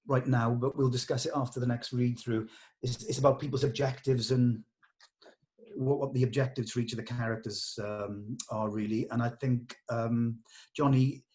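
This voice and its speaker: Male director giving measured notes. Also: medium pitch, darkish tone. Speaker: director leading a script table read.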